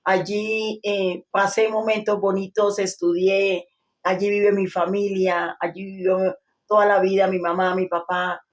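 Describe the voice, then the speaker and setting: Woman, guest pastor, earnest, hesitant fillers, mid pitch. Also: flat delivery, unhurried, dull audio. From Colombia. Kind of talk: interview testimony.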